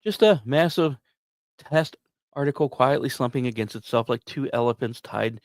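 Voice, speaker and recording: A man hosting a podcast, reading scripted narration with casual asides in a storyteller's manner, with dramatic pauses and emphasis. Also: medium pitch, dry recording, clean studio sound. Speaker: podcast host.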